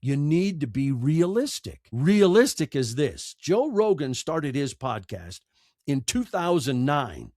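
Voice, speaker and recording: A man's voice: a little high, very animated, slow pace, darkish tone, no echo, studio quality. A man speaking as a podcast guest, giving conversational advice emphatically and repeating phrases for effect.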